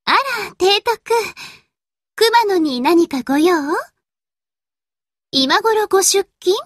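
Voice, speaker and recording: Very high voice, haughty young lady, anime game character, prim ladylike diction, slow delivery, dry recording. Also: expressive, no echo, exaggerated voice. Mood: haughty, teasing. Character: haughty, refined young lady warship girl.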